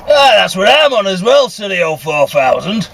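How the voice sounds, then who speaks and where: A man's voice: sits high, very animated, normal speed, vocal fry, heavy background noise. A man with a Northern English accent rambling casually and bluntly in a vehicle, grumbling and swearing as he goes. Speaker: CB radio vlogger. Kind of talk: casual rambling monologue while driving. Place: inside a vehicle.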